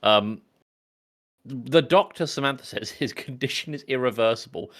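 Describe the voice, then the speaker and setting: A man's voice: medium pitch, moderate pace, a bit bright. A man co-hosting a casual film podcast with jokey, sweary banter. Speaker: podcast co-host.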